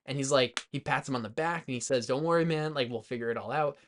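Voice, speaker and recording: A man co-hosting a movie podcast, talking in a loose, informal way with lots of halting filler words as he retells things. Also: medium pitch, slight background noise. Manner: informal, heavy use of "like", halting, animated retelling. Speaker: podcast co-host. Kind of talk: rowdy casual movie chat.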